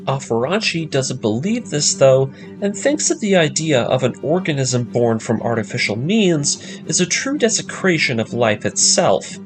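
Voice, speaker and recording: A man narrating a scripted story breakdown as an enthusiastic fan host, with expressive, emphatic delivery. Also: mid-range voice, moderate pace, hardly any pauses. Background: background music.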